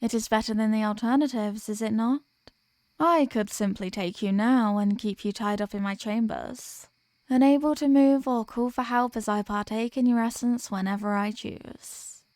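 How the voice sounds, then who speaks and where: A woman's voice: fairly high pitch, normal speed, darkish tone, no echo, natural voice. A woman playing a possessive, dominant vampire in an ASMR roleplay, speaking in a teasing, condescending manner with formal phrasing. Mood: coolly threatening. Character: possessive, dominant female vampire toying with captive prey. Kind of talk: ASMR roleplay, scripted intimate monologue.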